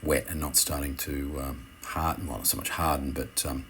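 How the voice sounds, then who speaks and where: Male instructor, extremely deep register, unscripted tutorial voice-over with hesitant phrasing, some noise behind the voice. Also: steady tone, a bit bright. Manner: hesitant, halting, self-correcting. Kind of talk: unscripted how-to voice-over commentary.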